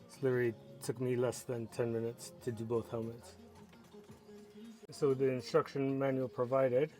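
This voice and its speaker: A man's voice: mid-range voice, moderate pace, faint music behind, low-quality recording. A man casually narrating a product review, explaining each step as he works with his hands. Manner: relaxed, step-by-step explaining while working with hands.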